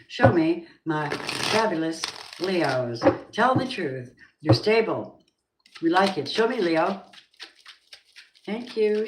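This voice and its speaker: An older woman doing a live tarot reading, chatty and rambling, warmly calling her viewers babies. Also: on the low side. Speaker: tarot reader. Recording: noisy home stream with clicks.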